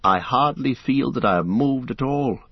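A man voicing Frodo, a gentle young male hobbit who carries a hidden worry. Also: medium pitch, normal speed, breathy edge, no echo, voice pushed up. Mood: polite strained. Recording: slightly muffled dry recording.

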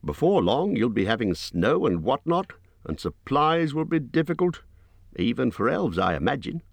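A man voicing a small, fussy male hobbit who talks in a squeaky, hurried, apologetic way. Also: big pitch swings, creaky, dry recording. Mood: polite.

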